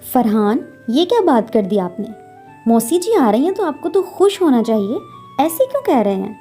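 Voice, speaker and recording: Woman playing a high-voiced earnest young daughter-in-law. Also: big pitch swings, moderate pace, dark tone, faint music behind. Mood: earnest, eager. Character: polite, eager young daughter-in-law, growing exasperated.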